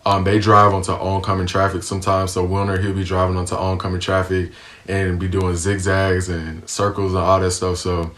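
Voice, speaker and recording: A man's voice: low-pitched, completely flat delivery, moderate pace, a bit bright. A young American man telling a casual storytime vlog, laid-back and slangy, with lots of ums. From United States. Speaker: vlogger.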